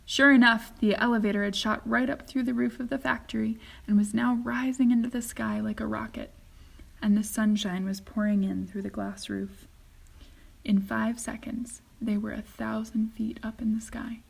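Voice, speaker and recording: A woman reading a children's story aloud as a teacher would, with expressive, deliberate narration. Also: on the high side, vocal fry, slightly rough.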